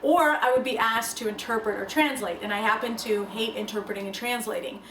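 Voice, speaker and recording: A young woman whose first language is Russian speaks to the camera in an earnest, reflective way, stressing her key points with some life. Her voice sits a little high. The room is echoey, she sits some way from the mic, and a faint hum runs beneath her. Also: brisk, far from the mic. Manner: earnest, reflective, lively emphasis. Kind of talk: personal talk to camera.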